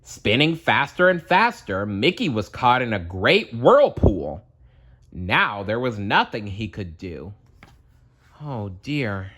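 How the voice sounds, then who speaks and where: A man narrating a children's storybook aloud in a dramatic, deliberate storytelling manner. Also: fairly low pitch, sing-song, fairly bright tone.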